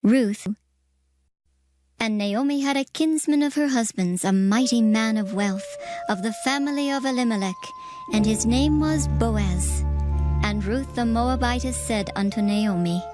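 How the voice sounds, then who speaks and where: A woman narrator reading scripture as a scripted dramatized story, in a measured, solemn storytelling manner. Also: fairly high pitch. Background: music.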